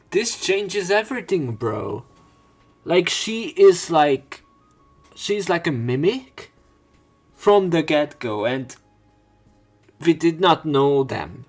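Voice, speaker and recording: A man playing through a visual novel, reading its narration aloud and adding casual, informal commentary of his own. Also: fairly high pitch. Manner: informal, swearing in reactions. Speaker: let's player.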